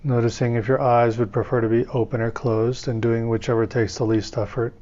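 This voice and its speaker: Guy doing guided meditation, calm n soothing, pretty flat lowish voice, bit muffled recording. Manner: calm, soothing, measured instruction with pauses. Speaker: meditation teacher.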